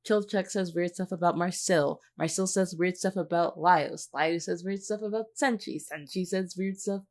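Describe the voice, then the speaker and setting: Very low-pitched woman in casual discussion. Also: normal speed, darkish tone. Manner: informal, reflective, hedging with frequent 'like'. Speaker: voice actor, podcast guest. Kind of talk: casual analytical podcast chat.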